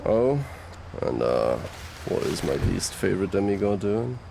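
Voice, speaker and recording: An actor playing a wry, easygoing, protective supernatural detective who teases casually in an audio roleplay. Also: male voice, deep voice, big pitch swings, measured pace, gravelly, background noise, full character voice. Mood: amused, wry. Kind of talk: ASMR audio roleplay acting.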